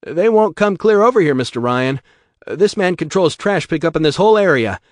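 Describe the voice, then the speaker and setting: A man voicing a desperate middle-aged male shopkeeper who pleads in a strained, high voice. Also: lively intonation, brisk, dry recording, clean studio sound, close to an everyday voice. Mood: pleading.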